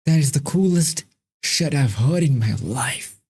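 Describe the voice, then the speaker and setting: A man's voice: fairly high pitch, expressive, unhurried, a little mellow, breathy edge. A man hosting a reaction video, talking casually and emphatically, repeating himself and swearing freely.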